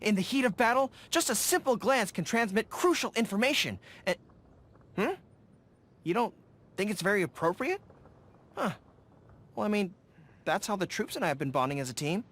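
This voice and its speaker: A man's voice: sits high, sing-song, moderate pace, long pauses, a bit bright, exaggerated voice. A man voicing an earnest, dutiful young general with dog ears in a video game, speaking with military directness and easily flustered. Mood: warm, reassuring, then puzzled. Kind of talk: scripted game voice lines. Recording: music under the voice.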